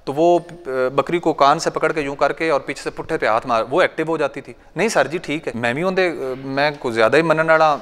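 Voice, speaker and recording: Punjabi-accented male farmer-trainer in his thirties, chatty and anecdotal, clear, resonant voice, long hall reverb. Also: fairly high pitch, brisk, few pauses, a bit bright. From Pakistan. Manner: conversational, anecdotal, recites couplets and quotes elders. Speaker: goat farmer giving a workshop talk. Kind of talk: informal training talk. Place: large bright training hall with a seated audience.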